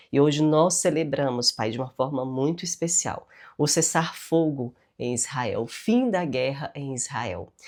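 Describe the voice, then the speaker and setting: A Brazilian woman speaking with a nasal, stuffy voice from a cold, giving a chatty devotional talk with spoken prayer and tag questions. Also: sits low. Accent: Brazilian Portuguese.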